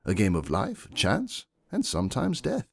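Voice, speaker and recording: A man voicing a refined, shrewd stallion aristocrat, pompous and deliberate, in a dramatic first-person fan fiction reading. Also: fairly low pitch, wildly swooping pitch, vocal fry, breathy edge, dry recording, full character voice. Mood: cynical, measured.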